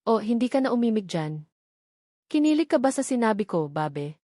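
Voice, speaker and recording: A woman's voice: fairly high pitch, big pitch swings, normal speed, mellow, dry recording. An ardent young man from a romance audiobook, devoted to his secret lover voiced by a woman narrator. The accent is Filipino and the sound is dry and close. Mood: teasing, eager.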